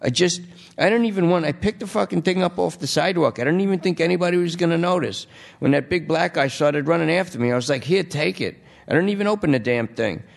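A jittery male junkie under arrest, as a reader puts him on, pleading in a raised, whiny voice that rambles on. His voice has a gravelly edge, and he talks in quick, anxious bursts. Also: on the high side, pitched above the performer's own voice. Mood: agitated.

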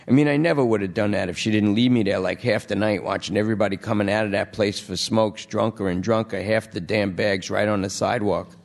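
A man's voice: lowish voice, steady tone, brisk, gravelly, hardly any pauses. A man voicing a jittery, wheedling male junkie under arrest who pleads and rambles. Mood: pleading.

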